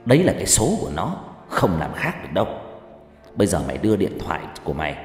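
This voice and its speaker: A man's voice: deep voice, very animated, fast talker, a little mellow, faint music behind. A man voicing an angry old village sorcerer who scolds and commands bluntly in a dramatized horror story. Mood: stern, commanding. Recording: echoey hall reverb.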